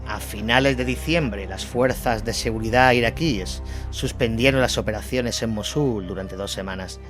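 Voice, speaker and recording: A man reading a scripted documentary-style war narration. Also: lowish voice. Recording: music bed under voice. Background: music.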